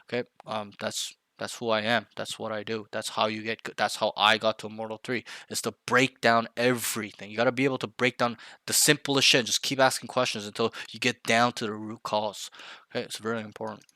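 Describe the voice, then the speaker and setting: A man's voice: on the low side, little pitch movement, a little fast, bright, forceful tone, no echo. A man coaching a younger player, blunt and emphatic, repeating key points to drive them home. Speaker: game coach. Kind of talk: coaching session, direct feedback.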